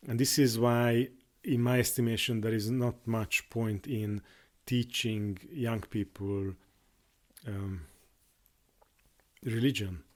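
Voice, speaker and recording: A man whose first language is Hungarian, talking in an unscripted monologue on his channel, halting with many restarts and fillers. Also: mid-range voice, steady tone. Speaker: YouTuber.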